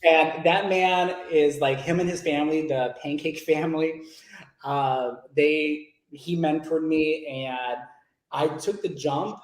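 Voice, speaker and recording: A man's voice: on the high side, steady tone, takes it slowly, echoey room, poor audio. A young man from Miami on a video call, chatting and telling stories as a guest, laughing easily. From Miami, Florida. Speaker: guest, loan originator. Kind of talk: casual video chat interview.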